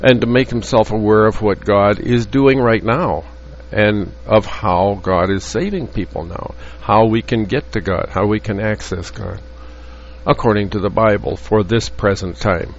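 A man teaching from the Bible in an earnest, halting way, with frequent restarts and fillers. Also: lowish voice. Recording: dull lo-fi audio with faint hum.

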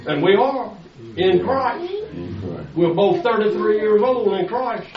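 A man's voice: high-pitched, animated, slow pace, a crowd in the background. An older man preaching a sermon, pressing his listeners with rhetorical questions. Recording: echoey, distant, dull lo-fi sound.

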